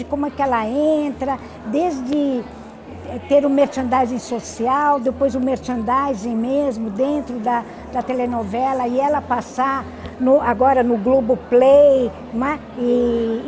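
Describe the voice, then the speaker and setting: A Brazilian woman academic answering interview questions in an explanatory, halting way, often adding tag questions. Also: high-pitched. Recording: busy crowd chatter behind. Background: crowd noise.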